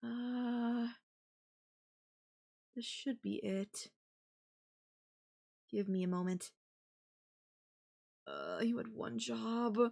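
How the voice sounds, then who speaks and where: A young woman playing a sweet, possessive yandere girlfriend in an intimate audio roleplay, speaking softly and haltingly to the listener. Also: fairly high pitch, very animated, gravelly, full character voice. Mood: doting, gentle.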